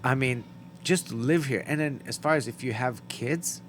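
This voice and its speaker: A man's voice: mid-range voice, moderate pace, a bit bright, a little hiss or hum. Blunt, emphatic man from the Middle East. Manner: emphatic, blunt, swears freely. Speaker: podcast host. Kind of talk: casual podcast chat.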